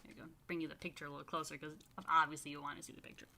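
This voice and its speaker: A woman's voice: deep voice, gravelly. A woman rabbi reading a picture book aloud to children, expressively stretching key words and adding chatty asides. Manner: expressive, dramatic emphasis and drawn-out words. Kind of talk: animated storytime read-aloud with chatty asides.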